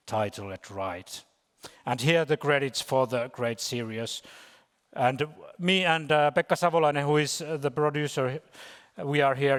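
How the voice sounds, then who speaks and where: A man giving an informal conference presentation, halting as he searches for words. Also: mid-range voice. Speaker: conference speaker. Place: large conference hall. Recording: reverberant hall.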